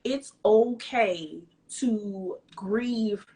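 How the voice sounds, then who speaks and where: A woman who works as a speech-language pathologist speaks as a guest on a live video call interview. She explains things calmly and reassures parents in an even, unhurried way. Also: medium pitch. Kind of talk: casual live-stream interview.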